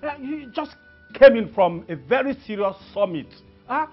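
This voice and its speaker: An actor playing a humble palace adviser who begs the king to calm down. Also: medium pitch, normal speed, slightly rough, faint music behind. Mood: pleading. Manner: pleading, deferential. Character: humble royal adviser, calming. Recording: dull lo-fi audio.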